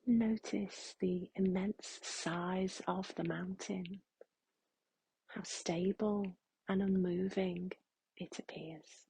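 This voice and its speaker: Female meditation guide: very breathy, mellow, very slow and gentle, close dry sound. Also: on the low side, fairly even intonation, no echo, poor audio. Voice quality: soft, breathy, hushed. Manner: gentle, unhurried, with long pauses.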